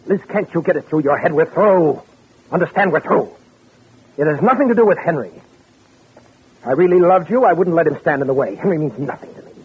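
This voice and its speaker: Callous curt lover, vintage radio. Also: male voice, a little high, animated, fairly quick. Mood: impatient. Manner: curt, dismissive. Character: cold, callous lover coolly dumping his mistress. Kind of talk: 1940s radio drama acting. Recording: muffled old radio recording, faint hiss.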